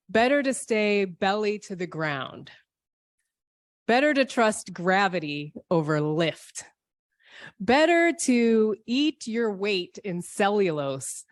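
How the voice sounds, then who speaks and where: A woman delivering a scripted, reflective church sermon in measured, poetic phrases with deliberate phrasing. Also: medium pitch, no room sound, studio quality. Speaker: speaker at a church service.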